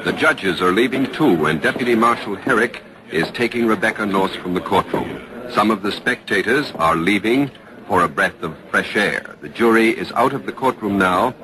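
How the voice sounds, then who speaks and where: A man's voice: lowish voice, animated, moderate pace, a crowd in the background, close to an everyday voice. A man playing an urgent live radio reporter, giving clipped, dramatic commentary in 1940s news style. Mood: intent, hushed urgency. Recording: faintly noisy old radio broadcast.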